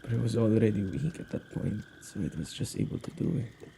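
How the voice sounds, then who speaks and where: A man acting an anxious, protective witch who guards spirits, in an intimate, expressive ASMR audio roleplay with a halting conversational delivery. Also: fairly low pitch, gravelly. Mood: calm recounting. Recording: faint background noise.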